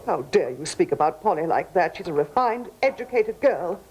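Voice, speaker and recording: A woman's voice: on the low side, animated, moderate pace, darkish tone, a little hiss or hum, close to an everyday voice. An actress playing Penelope, a haughty and snobbish mother who trades scornful insults in a comedy scene. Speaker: Penelope, feuding parent. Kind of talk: scripted TV comedy acting.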